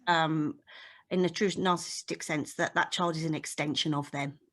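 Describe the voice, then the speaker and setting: A woman who works in children's social care, speaking in a measured way during an online Q&A. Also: sits low, steady tone, a bit bright. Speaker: social care worker in audience.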